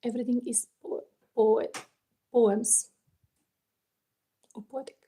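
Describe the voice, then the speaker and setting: A woman from Finland with a Finnish accent, casually reading tarot on a live stream and chatting to viewers in halting, non-native English as she thinks aloud. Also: on the high side, fairly even intonation, warm tone, husky. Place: warm indoor room. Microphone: phone.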